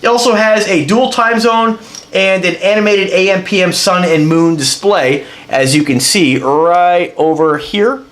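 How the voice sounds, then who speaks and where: A man's voice: high-pitched, unhurried, fairly bright tone, echoey room. A man with a congested voice from a cold, rambling enthusiastically through a casual product review as he handles the item. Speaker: watch reviewer.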